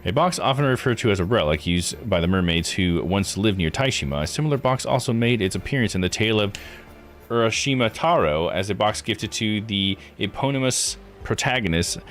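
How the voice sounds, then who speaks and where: A man voicing a formal, calculating investigator from a visual novel, reading dialogue and lore deliberately. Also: fairly low pitch, animated, a bit bright. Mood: explanatory. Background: music.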